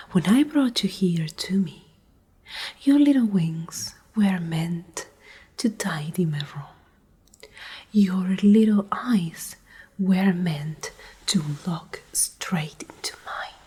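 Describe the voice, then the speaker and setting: A woman playing a menacing, possessive female pack Alpha in a soft, condescending ASMR roleplay whisper. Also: fairly low pitch, animated, exaggerated voice. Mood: coldly commanding. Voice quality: breathy whisper. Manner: slow, deliberate, condescending.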